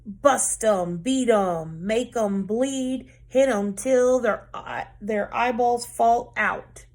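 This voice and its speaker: A woman's voice: on the high side, slow, a little mellow. A woman doing a sarcastic eight-year-old boy. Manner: sarcastic, whiny.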